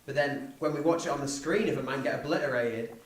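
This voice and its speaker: A man's voice: mid-range voice, fast, slight background noise. A man speaking as a podcast host in a casual film discussion. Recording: roomy echo, distant from mic.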